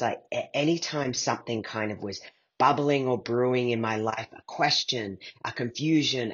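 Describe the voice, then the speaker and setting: A man's voice: fairly low pitch, breathy edge, highs cut off. A middle-aged man answering as an interview guest, reflecting in a hesitant, meandering way as he thinks aloud. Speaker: guest, playwright and performer.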